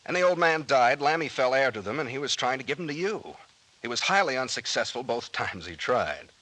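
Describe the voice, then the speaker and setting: Casting: genial American captain-detective, bright forceful voice, lively swings, confident explainer, vintage radio mystery. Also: male voice, mid-range voice, brisk, poor audio. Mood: explaining, amused. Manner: explanatory, chuckling, self-assured. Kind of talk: old-time radio drama acting.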